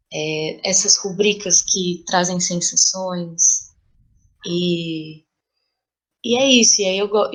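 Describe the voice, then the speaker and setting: A woman's voice: fairly low pitch, poor audio. A woman with a Brazilian Portuguese accent, answering in a reflective interview as a playwright, thoughtful and explanatory, searching for words.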